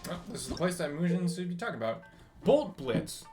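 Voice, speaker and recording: A man's voice: high-pitched, sing-song, a little fast, music in the background. A man doing live game commentary and reading on-screen text aloud, sometimes stumbling over the words. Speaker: game streamer.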